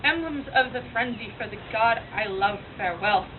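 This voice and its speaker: A woman's voice: fairly high pitch, animated, normal speed, lightly acted. A woman performing a scornful, doomed young prophetess in a declamatory stage monologue from Greek tragedy. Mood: defiant, frenzied. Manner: declamatory, theatrical. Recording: tinny, noisy far-off event recording.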